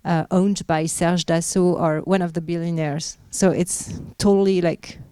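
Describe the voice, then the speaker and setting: Woman exec fielding panel questions; low, mellow and smooth, halting with fillers. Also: fairly even intonation, dry recording. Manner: hesitant, many fillers and restarts. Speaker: media executive answering questions. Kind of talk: panel Q&A answers.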